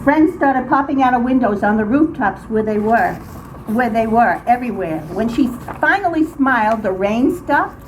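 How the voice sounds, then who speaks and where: An older woman reads her own humorous personal story aloud in an expressive, dramatic way, with emphatic phrasing. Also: a little high. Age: older, grandmother. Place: indoors, writing group meeting room. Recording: very noisy small-group room recording. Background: clear background noise and room ambience.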